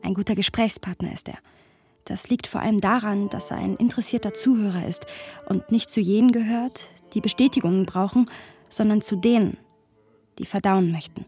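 An actress playing a witty, argumentative woman in a radio play, musing wryly and digressively in a slightly husky voice. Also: mid-range voice, a little fast, mellow, faint music behind, lightly acted. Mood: wry, reflective. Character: Sophia, witty, argumentative woman musing about her friend. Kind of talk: radio play narration and dialogue.

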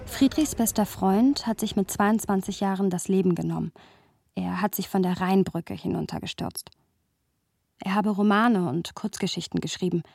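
A woman's voice: mid-range voice, normal speed, vocal fry, a little mellow, breathy edge, no room sound, clean studio sound. An actress playing a thoughtful, playful young woman who narrates a literary radio play. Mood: sober, reflective. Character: thoughtful, playful young woman recounting her close male friend. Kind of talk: literary scripted narration with lively dialogue.

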